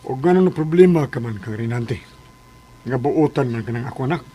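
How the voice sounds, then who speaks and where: A man's voice: medium pitch, animated, brisk, warm tone, a little hiss or hum, natural voice. A man acting in a Philippine radio drama as an older father-in-law, questioning insistently in a Filipino accent and standing up for his son. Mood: concerned, curious.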